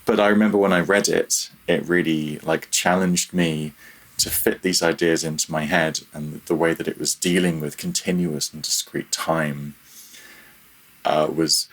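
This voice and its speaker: A man's voice: a bass voice, fairly even intonation, unhurried, poor audio. A man chatting informally as a technical interview guest, often stopping to rephrase himself as he goes. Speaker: guest, freelance audio software developer. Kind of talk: casual technical interview chat.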